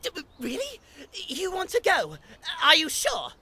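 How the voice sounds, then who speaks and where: A man voicing a boisterous, theatrical, eager skeleton brother in a cartoon comic dub, with a raspy voice and grandiose delivery. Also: extremely high pitch, huge pitch swings, measured pace, sharp tone, exaggerated voice. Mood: excited. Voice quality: raspy, gravelly. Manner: grandiose, emphatic declarations.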